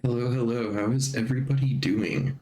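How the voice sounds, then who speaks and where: A man streaming live in a casual chatty way, a bit stuffy from allergies and clearing his throat often. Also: medium pitch, fairly even intonation, fairly quick, dark tone.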